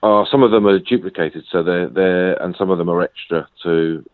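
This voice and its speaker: A man's voice: low-pitched, fairly even intonation, moderate pace, no room sound. A man being interviewed over the phone as an author and researcher, talking hesitantly with many fillers and repeated words. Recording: tinny phone line.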